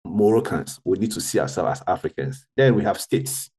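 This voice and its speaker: Husky low-voiced man. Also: animated, moderate pace, warm tone. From Ghana. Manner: earnest, with frequent restarts and self-corrections. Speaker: guest, tech founder. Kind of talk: roundtable discussion.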